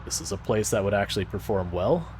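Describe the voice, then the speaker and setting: A man with a soothing voice chatting casually with his stream viewers as a game streamer, relaxed and rambling, often restarting his sentences. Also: fairly low pitch, fast, a little hiss or hum.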